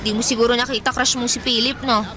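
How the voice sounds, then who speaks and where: A woman's voice: fairly high pitch, steady tone, quick pace, lightly acted. An actress playing a carefree young woman who talks in a bubbly, teasing way, in radio drama style. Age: young adult. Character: cheerful young woman, carefree, fair-loving. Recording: music and crowd noise behind.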